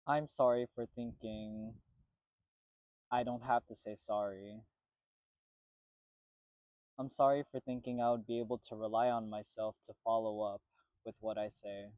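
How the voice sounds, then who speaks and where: Man, lowish voice, earnest measured reading, close dry sound. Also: steady tone, darkish tone, no room sound. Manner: measured, earnest, repetitive phrasing. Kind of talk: reflective spoken apology monologue.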